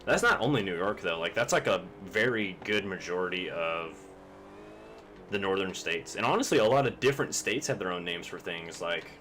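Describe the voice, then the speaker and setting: Deep-voiced laid-back streamer guy. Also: lively intonation, moderate pace, fairly bright tone, a little hiss or hum. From Kentucky, US. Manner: rambling, profane, laid-back. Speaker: game streamer. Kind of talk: casual chat while streaming a racing game.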